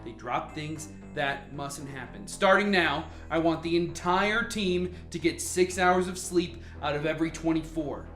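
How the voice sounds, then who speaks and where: A woman's voice: low voice, measured pace, few pauses, a bit bright. A woman playing the commanding, easily exasperated leader of a scientific team in a radio drama. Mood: weary, firm. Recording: roomy echo, faint music bed.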